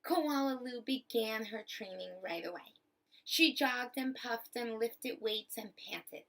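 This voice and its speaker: A woman reading a picture book aloud to children like a teacher, with expressive, deliberate phrasing. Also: on the high side, rough recording. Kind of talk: storybook read-aloud for children.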